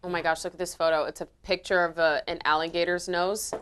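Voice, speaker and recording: A woman co-hosting a casual political talk show, chiming in with quick quips and agreement. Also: on the low side, little pitch movement, fairly bright tone, clear, resonant tone. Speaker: co-host. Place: lives in Florida.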